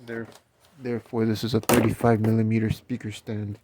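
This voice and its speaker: A man's voice: fairly low pitch, level tone, a little fast, a little mellow, a little hiss or hum. A man casually walking through an unboxing of a speaker stand, explaining each part as he goes and correcting himself along the way. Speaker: DJ reviewing gear.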